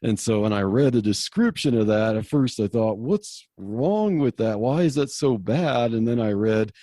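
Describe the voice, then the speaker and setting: A man's voice: mid-range voice, lively intonation, normal speed, warm tone, dry recording, studio quality. A man co-hosting a podcast, explaining theology in a casual, eager way with some stammering. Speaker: podcast co-host.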